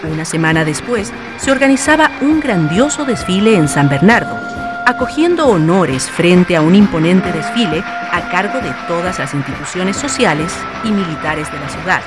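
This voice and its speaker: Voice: medium pitch, sing-song, normal speed, over music. A narrator delivering scripted historical storytelling for a radio drama.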